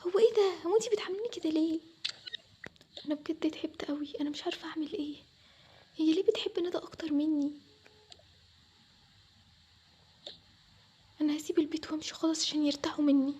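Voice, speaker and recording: Woman acting a whiny, high-pitched Egyptian girl. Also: steady tone, very fast, dark tone, natural voice. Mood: aggrieved, then despairing. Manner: whiny, plaintive. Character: hurt, whiny neglected young daughter, pleading and resentful. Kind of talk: acted Arabic family story.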